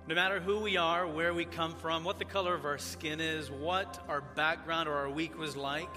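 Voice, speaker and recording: A man who grew up in Tanzania, preaching as a pastor in a church, praying and then teaching in a measured, earnest cadence. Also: on the high side, steady tone, over music. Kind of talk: sermon with opening prayer. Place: church sanctuary. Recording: church hall reverb.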